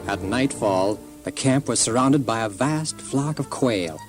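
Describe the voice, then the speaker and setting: A man's voice: medium pitch, animated, measured pace. A man reading scripted storytelling narration for a dramatized children's Bible story. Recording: loud music and crowd sounds behind. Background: loud music and crowd.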